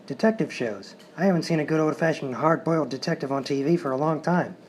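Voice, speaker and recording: A man's voice: fairly high pitch, fast. A man hosting a live sketch comedy show with scripted banter introducing the sketches. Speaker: show host. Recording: noisy live stage recording.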